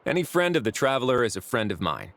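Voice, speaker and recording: An actor playing a calm, teasing prison warden with a dry manner. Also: male voice, medium pitch, fast talker, a little hiss or hum. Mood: wry. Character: calm prison warden man, wry and composed. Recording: game audio with music behind.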